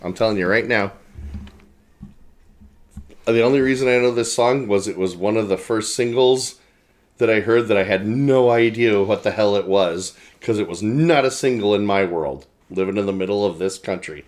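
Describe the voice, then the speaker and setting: A man's voice: fairly low pitch, moderate pace. A man from the American Midwest chatting casually about an album, teasing his friend and sharing trivia he looked up. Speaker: podcast co-host.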